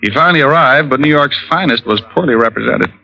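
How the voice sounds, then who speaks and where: Casting: glib American radio gumshoe, lively low delivery, bright edge, flirty and sardonic. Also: male voice, gravelly, low-quality recording. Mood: brisk narration. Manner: wry, glib, quick with quips. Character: wisecracking, flirtatious male private detective. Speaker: detective and narrator. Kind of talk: 1940s-50s radio noir acting. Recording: old radio broadcast with music.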